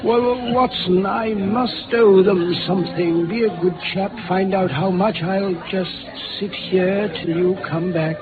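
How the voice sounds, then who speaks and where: A befuddled opium smoker speaks in a high, slow voice, rambling and stumbling over his words. The old radio drama sounds tinny, with music behind. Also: steady tone. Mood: dazed, confused. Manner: dazed, halting, rambling. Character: befuddled opium-addicted husband, dazed and self-pitying.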